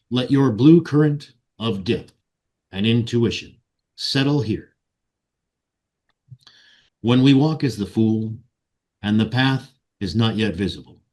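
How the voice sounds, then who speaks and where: A man leading a guided meditation and ceremonial invocation in solemn, deliberate short phrases. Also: mid-range voice, darkish tone. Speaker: meditation guide and ritual leader.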